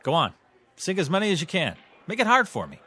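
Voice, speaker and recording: An actor with an American accent playing a proud, prickly, obsessive young pool shark in a radio drama. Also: male voice, sits high, wildly swooping pitch, fast, pushed and bright, husky, full character voice. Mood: sly, casual. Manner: boastful, intense, casual American slang.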